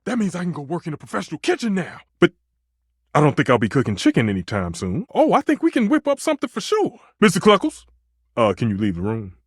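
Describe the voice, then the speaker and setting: Casting: passionate living-stove chef for a game; grandiose, higher-pitched, huge melodic swings. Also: male voice, huge pitch swings, quick pace, breathy edge, no room sound, studio quality, heavily acted. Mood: excited, frantic. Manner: theatrical, grandiose, exclamatory. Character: flamboyant passionate male stove chef, theatrical and romantic. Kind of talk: video game character acting.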